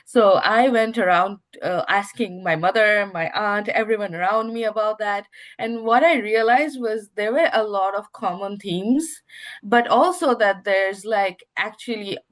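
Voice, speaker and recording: A woman's voice: mid-range voice, measured pace. Young bangladeshi woman, bangla her first language, chatty, um-ing and like-ing a lot through her answers. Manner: earnest, hesitant, many fillers. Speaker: guest, research award winner. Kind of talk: casual interview talk.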